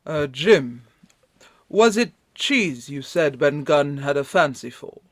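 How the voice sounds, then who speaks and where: An actor playing a kindly, genteel ship's doctor in a radio play, genial and explanatory. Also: male voice, fairly high pitch, animated, takes it slowly, no room sound, studio quality.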